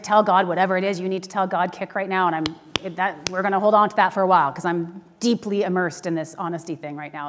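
Canadian woman preaching in a reverberant hall. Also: medium pitch. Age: middle-aged. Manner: informal, candid, animated delivery. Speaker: pastor. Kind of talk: conversational sermon with personal storytelling. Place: church sanctuary, speaking to a congregation.

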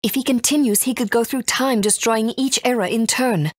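A woman's voice: on the high side, normal speed, dry recording, clean studio sound, lightly acted. A woman playing a composed, authoritative guide in a video game, serious and explanatory. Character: composed, authoritative woman guiding young heroes.

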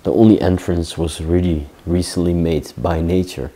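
A young man casually chatting while exploring an abandoned castle, relaxed and full of questions. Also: low-pitched, normal speed. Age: young adult. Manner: relaxed, agreeable, asks questions. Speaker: urban explorer co-host. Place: large abandoned castle indoors.

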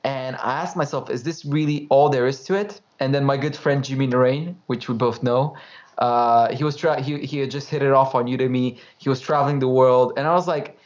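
A young man chats freely as a guest. His pitch is mid-range and his manner is informal and easygoing. The audio is a little dull, as over a video call. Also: fairly even intonation, a little fast. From Romania. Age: young adult. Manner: chatty, laughs easily, loose and informal. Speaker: guest, course creator. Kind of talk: casual interview storytelling. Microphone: headphones, video call.

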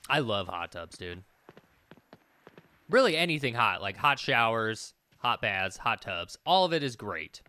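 A man's voice: lowish voice, very animated, measured pace, sharp tone. A man in his thirties giving casual let's play commentary, thinking aloud and muttering to himself as he plays. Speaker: gaming video host.

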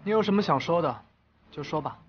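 A man's voice: on the high side, expressive, a little fast, lo-fi sound, slight background noise, natural voice. Actor as aloof young man, swinging intonation. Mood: cold, detached. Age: young adult. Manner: cool, curt, detached. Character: aloof, guarded, pessimistic young male novelist. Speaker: actor in a television drama. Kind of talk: modern romantic drama dialogue.

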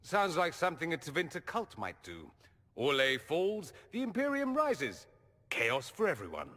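A man's voice: a little high, normal speed, long reverb tail. A vain, witty mage nobleman. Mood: wry. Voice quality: arch, ironic wit. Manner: lively, teasing, theatrical. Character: witty, vain, charming male mage nobleman, young adult. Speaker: mage companion. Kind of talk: video game dialogue.

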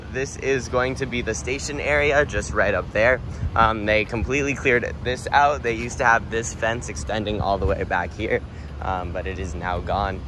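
A young man gives a chatty, upbeat walking tour, talking into a phone held at arm's length. His voice sits a little low. Steady outdoor noise and machinery hum run behind him. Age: young adult. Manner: upbeat, chatty, many ums. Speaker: theme park vlogger. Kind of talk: casual walking tour vlog. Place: outdoors at a construction site. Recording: noisy outdoor phone audio.

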